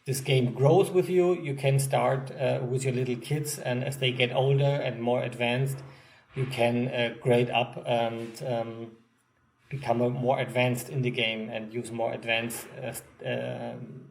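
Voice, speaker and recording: A man with a German accent, a game designer giving an unscripted update to backers, pausing often with many ums and restarts. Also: mid-range voice, flat delivery, vocal fry.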